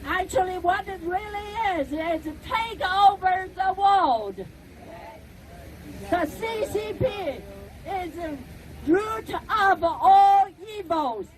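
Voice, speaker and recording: A middle-aged woman from China with a Chinese accent, giving an emphatic protest speech outdoors and rising to shouts at key words. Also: very high voice, expressive, slow, low-quality recording. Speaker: rally speaker. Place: outdoors at a street rally.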